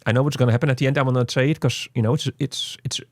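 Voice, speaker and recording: A man's voice: medium pitch, steady tone, rapid-fire, no room sound. Guy doing a chatty game review, rambles a lot, says you know constantly, really dry sound. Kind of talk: casual conversational game review.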